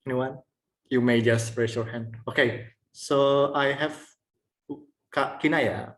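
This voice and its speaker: Slow-talking man moderating a class. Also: medium pitch, steady tone, a bit bright.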